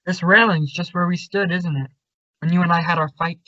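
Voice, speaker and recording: A man's voice: sits high, fairly even intonation, brisk, sharp tone, dry recording. An actor playing a suspicious, confrontational young man, insistent and accusing, in an old-time radio suspense drama. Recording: dull, lo-fi audio.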